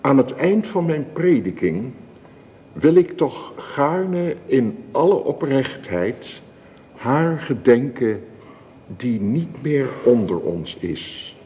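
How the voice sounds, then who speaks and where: A man playing a solemn village minister with formal, measured delivery in a Dutch radio drama. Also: medium pitch, very animated, warm tone. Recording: reverberant church acoustic, faint hiss.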